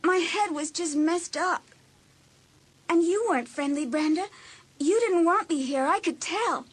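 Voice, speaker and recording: An actress playing a hurt, insecure, apologetic teenage girl in an audiobook drama, with an emotional, halting, pleading delivery. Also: very high-pitched. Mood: wounded, confessing.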